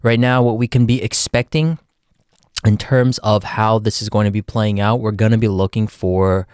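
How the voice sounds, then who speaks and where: A man with a Texan accent hosting a livestream, giving casual, folksy chart commentary and often calling out to "ladies and gentlemen". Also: on the low side, steady tone, normal speed, smooth, no echo, clean studio sound. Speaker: livestream host, market analyst.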